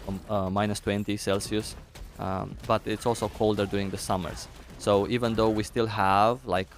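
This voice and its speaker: A man's voice: lowish voice, gravelly, a little hiss or hum. A young man with a Romanian accent chatting casually with viewers on a live game stream, thinking aloud as he answers chat.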